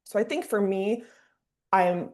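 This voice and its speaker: A woman's voice: medium pitch, slow, warm tone. A woman clinician talking through a case over a video call, thinking aloud with fillers. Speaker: clinician discussant. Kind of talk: teaching discussion.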